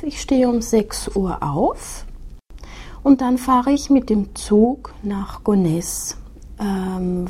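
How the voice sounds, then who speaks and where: A woman, a teacher reading a short scripted self-introduction in a slow, measured, soft-spoken way. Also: medium pitch, breathy edge. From Austria. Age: 30s. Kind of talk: scripted monologue for a listening exercise.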